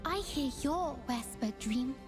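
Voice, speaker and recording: A woman playing a shy, gentle young fawn dream spirit in a video game, timid and hesitant. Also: high-pitched, expressive, takes it slowly. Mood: dreamy, wistful. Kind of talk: video game character voice lines. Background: music.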